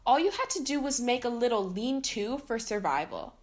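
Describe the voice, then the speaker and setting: Woman voicing exasperated teenage sister, storybook character, high-pitched, animated, indignant. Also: normal speed. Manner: indignant, loud complaining.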